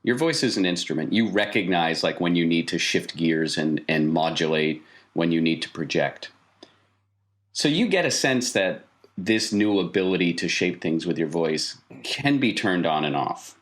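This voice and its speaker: A man with a low-pitched voice runs a tabletop role-playing game. He speaks to the player as "you", improvising the narration, asking probing questions and explaining what the character feels. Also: lively intonation, moderate pace. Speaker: game master.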